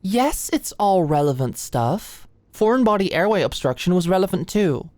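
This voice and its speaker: A woman's voice: fairly low pitch, sing-song, normal speed, darkish tone, no echo. A woman playing an anxious, flustered young medical student in an intimate ASMR roleplay, with a hesitant, stammering, playfully defensive way of talking. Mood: awkward, earnest. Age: young adult. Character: anxious, flustered, endearing short female medical student study buddy.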